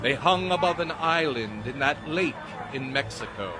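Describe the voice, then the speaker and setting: A man's voice: mid-range voice, animated, normal speed. A man narrating a dramatized audiobook story in a dramatic, scripted storytelling style. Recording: dramatic music under the voice.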